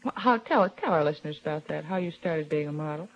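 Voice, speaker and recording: 1940s radio interviewer, American woman, brisk and chatty, coaxing delivery, muffled vintage sound. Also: deep voice, lively intonation, a little hiss or hum. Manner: chatty, coaxing, prompting with questions. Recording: dull, lo-fi old radio recording.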